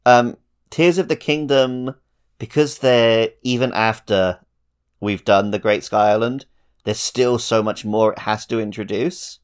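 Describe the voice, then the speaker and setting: A man's voice: medium pitch, fairly even intonation, unhurried, clean tone. A man co-hosting a podcast, talking casually and conversationally about video games. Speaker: podcast co-host. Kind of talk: casual video game discussion.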